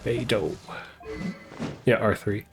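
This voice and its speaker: A man's voice: on the low side, slow, gravelly. A man who is a game streamer, chatting casually during gameplay. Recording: game music behind the voice. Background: video game music.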